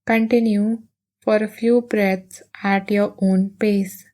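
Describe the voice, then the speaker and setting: Woman, meditation guide, very slow pace, soft relaxed timbre, even pitch, gentle and soothing. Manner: soothing, gentle, unhurried with long pauses.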